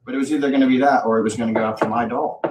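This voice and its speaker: A man's voice: mid-range voice, steady tone, rapid-fire. A man hosting a livestream, rambling casually in a boastful way with plenty of swearing. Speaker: livestream host. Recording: roomy, distant mic, faint noise.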